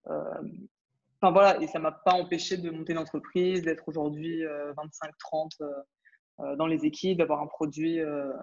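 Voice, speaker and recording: A woman's voice: low-pitched, flat delivery, moderate pace, darkish tone, dull audio. A woman in her twenties from France, a startup founder talking casually in an interview, hesitant with many fillers and restarts. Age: 20s. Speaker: startup founder, podcast guest.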